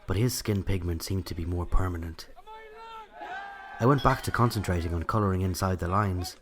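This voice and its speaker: A man's voice: sits low, moderate pace, noisy background. An Irish man playing a narrator who recalls a night from his childhood, in scripted first-person storytelling. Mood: reflective.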